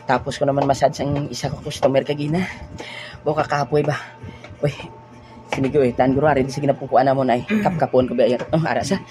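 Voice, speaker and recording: A man playing a friendly young massage trainer in an amateur audio drama, cheerful and quick to laugh. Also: mid-range voice, fairly even intonation, a little mellow, faint music behind, natural voice.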